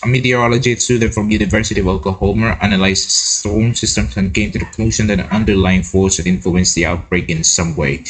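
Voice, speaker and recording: A man giving casual, excitable reaction commentary, repeating words and breaking into his own read-aloud with asides. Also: on the low side, slightly rough, rough recording. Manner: excitable, repeats words, interjects while reading. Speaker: host of a reaction video.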